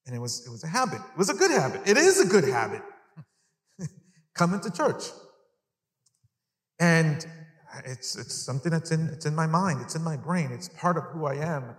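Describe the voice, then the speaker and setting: A man in his fifties delivers a sermon in a fairly high-set voice. He talks conversationally, repeating key phrases and putting questions to his listeners. The sound is echoey, with the long reverb of a big hall. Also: lively intonation, normal speed, long pauses. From Long Island, New York, United States (born in El Salvador). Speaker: preacher. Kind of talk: sermon to a live congregation. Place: large indoor church hall, speaking to an audience.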